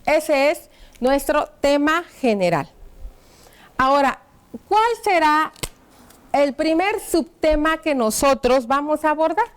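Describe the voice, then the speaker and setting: Woman, schoolteacher, high voice, patient and explanatory classroom delivery. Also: lively intonation, slow, long pauses. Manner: patient, explanatory, frequent check-in questions. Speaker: primary school teacher. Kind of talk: educational TV class, addressing pupils.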